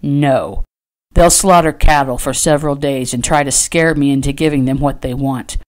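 A woman voicing a gruff, stubborn male rancher with a terse, growling delivery in an audiobook. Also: a bass voice, fairly even intonation, normal speed, a little mellow. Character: gruff, stubborn, commanding cowboy rancher man.

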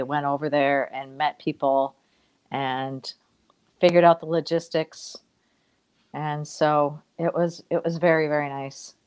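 A woman's voice: sits low, clean tone. A middle-aged woman, a guest on a video call, telling family stories in a rambling, reflective way from a room at home. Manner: warm, rambling, reflective storytelling. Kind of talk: casual storytelling in an interview. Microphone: webcam on a video call.

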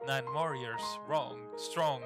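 A man's voice: medium pitch, slow. A man whose first language is not English, casually commenting while playing a game, swearing a lot and stumbling when reading aloud. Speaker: gamer playing through a game. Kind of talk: casual let's play commentary. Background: game music.

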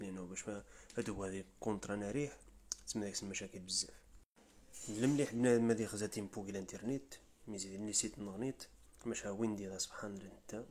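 Riffian man, lowish voice, quick informal explainer narration, tutorial voice-over. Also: a little mellow, breathy edge. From Rif region of Morocco. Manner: brisk, informal, step-by-step explaining. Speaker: tutorial presenter. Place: voice-over, not the pictured scenes.

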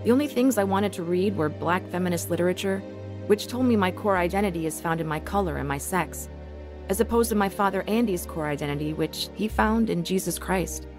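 A woman's voice: fairly low pitch, fairly quick, lightly acted. An actress playing a rebellious, angry young woman in a first-person radio drama, both narrating and acting. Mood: reflective. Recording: music bed behind the voice. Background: music.

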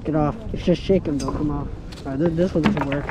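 A fairly high-voiced man chatters excitedly while he reels in fish and calls out to friends. He was recorded outdoors on a seaside pier with a head-mounted action camera, and steady wind and water noise sit under his voice. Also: quick pace. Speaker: fishing vlogger. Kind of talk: casual running commentary while fishing. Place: outdoors on a wooden fishing pier by the sea.

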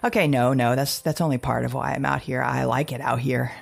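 A low, gravelly woman surviving alone after the end of the world. She is an anxious mother heavy with guilt, stammering and doubling back on her words in an intimate audio drama monologue. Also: fairly even intonation, soft background music, heavily acted. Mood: uneasy, explaining. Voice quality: husky, gravelly. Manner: halting, stammering, repeating words.

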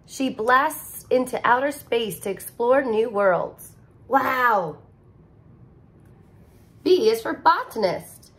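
A woman in her thirties, a teacher, reading a picture book aloud to young children in an expressive, sing-song way, recorded close to the camera in a living room. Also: fairly high pitch, slow pace, pauses often. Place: living room with couch, indoors.

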